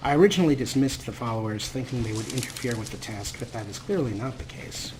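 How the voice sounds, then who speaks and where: A man's voice: mid-range voice, lively intonation, fast talker. Male let's play host, mid-range voice, casual and chatty, playfully formal wording, noisy backdrop. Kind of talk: casual let's play commentary with some read-out game text.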